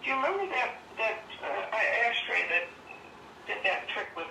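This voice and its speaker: An older woman chatting casually and haltingly on a phone call, rambling as she goes. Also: a little high, sing-song, gravelly. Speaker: phone interviewee, former resident of the house. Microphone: phone call played over speaker. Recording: distant noisy phone speaker, echoey.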